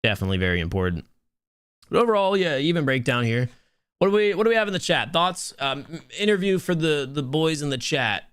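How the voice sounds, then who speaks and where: A young man with a smooth, soothing voice casts a mobile game tournament live and talks straight to his chat. He chats loosely and restarts his words as he goes. The sound is close and dry, with no room around it. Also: a little high, brisk, no room sound. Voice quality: soothing, relaxed voice. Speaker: tournament host and caster. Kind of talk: live stream esports commentary.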